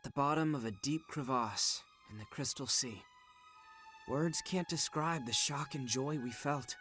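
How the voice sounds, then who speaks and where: An actor playing a steady young male squad leader who also narrates in a measured, earnest way. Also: mid-range voice, soft background music. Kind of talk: game narration and dialogue. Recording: game audio under loud score.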